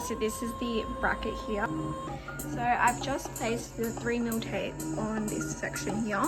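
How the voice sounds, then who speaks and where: Female DIY vlogger, slightly high pitch, informal learn-as-you-go explaining, clear music bed. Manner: informal, learning as she goes, slangy. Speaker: vlogger showing a DIY car install. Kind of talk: casual how-to narration.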